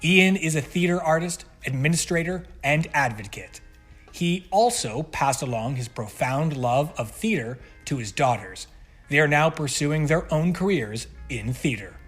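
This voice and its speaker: A man's voice: on the high side, expressive, a little slow, a bit bright, slightly rough, faint music behind. A man giving a scripted introduction as an announcer.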